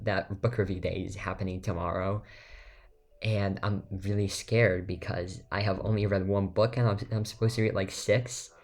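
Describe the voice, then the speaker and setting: A teenage boy whose first language is Lithuanian, chatting casually in a voiceover over game footage, rambling with lots of fillers and restarts. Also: fairly low pitch. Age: teens. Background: faint background music.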